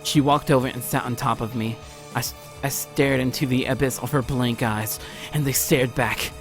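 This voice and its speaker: A man's voice: steady tone, moderate pace. Theatrical man reading a visual novel aloud. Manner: theatrical, often stumbles over the text. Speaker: let's play host. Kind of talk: dramatic read-aloud narration of visual novel. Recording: music behind, low-quality home recording.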